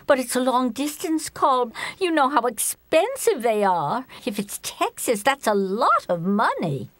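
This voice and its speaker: High-voiced, feisty older lady. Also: a little slow, no echo, full character voice. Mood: fretful, eager. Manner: indignant, eager, expressive. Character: feisty, eccentric older spinster, retired secretary turned dreamer. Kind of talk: light comedy audio play acting.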